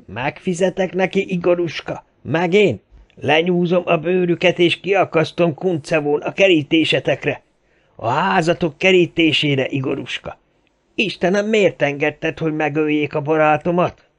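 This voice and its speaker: A man's voice: on the high side, voice pushed up, close to an everyday voice. A man voicing a hot-headed, grieving Russian gunman in an audiobook, ranting and threatening in exclamations. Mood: grieving, vengeful.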